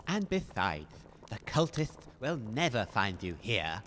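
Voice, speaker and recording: An actor playing an eccentric, gallant inventor in an audio comedy drama, grave and theatrical, declaiming dramatically. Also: male voice, on the low side, sing-song, takes it slowly, heavy background noise. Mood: proud, matter-of-fact.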